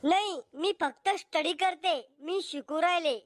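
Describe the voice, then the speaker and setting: A man voicing a sharp-tongued, brazen young bride-to-be in a cartoon comedy, bluntly confident in a pitched-up voice. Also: a little slow, no echo, clean studio sound. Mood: matter-of-fact.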